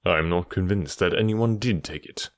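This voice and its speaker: A man reading an audiobook, voicing a calm, cool detective who speaks in a dry, unhurried way. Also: low-pitched, no echo.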